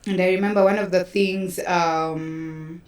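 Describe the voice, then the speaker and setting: A woman's voice: on the low side, fairly even intonation, a little slow. A woman with a Kenyan accent telling stories conversationally as an interview guest, acting out quoted remarks as she goes. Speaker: theatre producer, interview guest. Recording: roomy echo, distant microphone.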